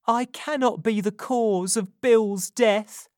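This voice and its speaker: A woman's voice: fairly high pitch, takes it slowly, darkish tone, no echo, clean studio sound, pitched above the performer's own voice. A woman voicing a frightened young woman who speaks in an urgent, pressing way in a storybook reading.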